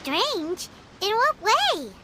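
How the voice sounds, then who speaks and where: A woman voicing a tiny, childlike fairy companion in a video game, excitable, nosy and squeaky. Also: drawn-out words, background noise, exaggerated voice. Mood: curious. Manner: squeaky, speaks of herself in third person. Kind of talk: English video game voice acting.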